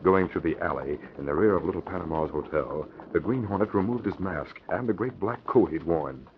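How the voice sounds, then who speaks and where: A man's voice: low-pitched, a little fast, a little hiss or hum. A man narrating a scripted 1940s radio crime drama with dramatic storytelling delivery. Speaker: announcer-narrator. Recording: tinny, narrow old radio recording.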